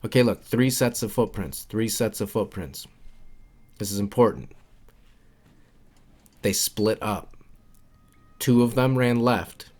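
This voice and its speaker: A man's voice: fairly low pitch, slow, pauses often, darkish tone. A man giving casual, instructional walkthrough commentary for a video game, informal and repeating his key points. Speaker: game guide creator and narrator.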